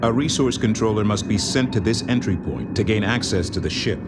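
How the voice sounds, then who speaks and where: An actor playing a grave male military commander in a space game, briefing the fleet in a formal, measured, authoritative way. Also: fairly low pitch, heavy background noise, natural voice. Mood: grave, commanding. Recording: loud music behind the voice. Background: loud cinematic game score.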